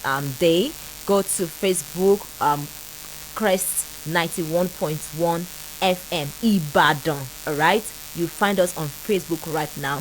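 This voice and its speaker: A woman with a Nigerian accent hosting a call-in radio French lesson, laughing often and slowly repeating phrases for listeners to copy. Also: lowish voice, slight background noise, rough recording. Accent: Nigerian English. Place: radio studio.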